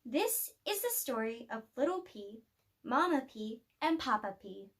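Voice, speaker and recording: A woman reading a children's picture book aloud, telling the story expressively with deliberate pauses. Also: a little high, big pitch swings, fairly bright tone. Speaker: storybook reader.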